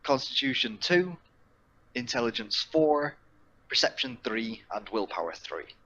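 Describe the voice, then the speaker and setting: A man's voice: medium pitch, expressive, unhurried, sharp tone, lo-fi sound. A man talking casually on an online call as a player in a tabletop game. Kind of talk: casual chat during a game.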